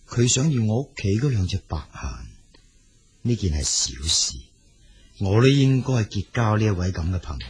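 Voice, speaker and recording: Man voicing a slow, low, husky musing scholar. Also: a little mellow, full character voice. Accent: Cantonese. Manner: deliberate, pondering to himself. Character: shrewd, musing male scholar who loves his birds.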